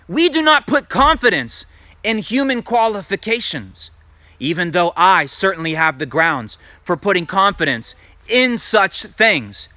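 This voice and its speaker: A male preacher with a bright, ringing voice and a very animated pitch that swoops up and down. He reads scripture and teaches emphatically, asking his listeners direct questions. The sound is dry and close. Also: dry recording. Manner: emphatic, rising to loud peaks when reading scripture; asks the congregation direct questions. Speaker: messianic congregation teacher. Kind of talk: sermon with scripture reading.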